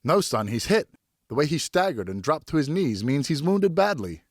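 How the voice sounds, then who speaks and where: Audiobook role: man playing fatherly veteran hunter, reassuring guide, raised pitch, dry recording. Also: very animated, normal speed, no room sound. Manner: reassuring, instructive. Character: steady, encouraging father, seasoned hunter. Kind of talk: audiobook character acting.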